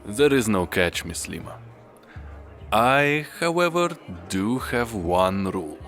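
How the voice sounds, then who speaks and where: A man playing a reserved, solitary young therapist in an audio drama, speaking in a measured, formal, understated way. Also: lowish voice, very animated, fairly bright tone, heavily acted. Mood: firm, formal. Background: music.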